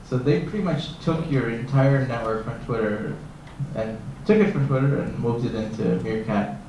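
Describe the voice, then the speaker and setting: A man's voice: medium pitch, moderate pace, darkish tone. Man in a very echoey hall. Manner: explanatory, loose and conversational. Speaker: panelist. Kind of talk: panel discussion. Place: conference hall. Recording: echoey hall from far off, faint noise.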